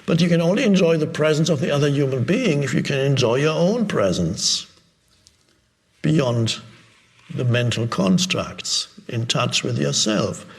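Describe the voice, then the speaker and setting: A man giving an unscripted spiritual talk as a teacher, reflective and halting, pausing and rephrasing as he goes. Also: medium pitch, animated, gravelly. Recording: long reverberant hall echo.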